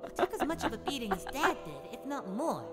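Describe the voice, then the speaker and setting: Woman acting a high-voiced exasperated bookish boy. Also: very animated, fairly quick, music in the background. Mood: thoughtful. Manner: dry, resigned, indignant. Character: sarcastic, exasperated, bookish eleven-year-old boy. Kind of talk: parody anime dub acting.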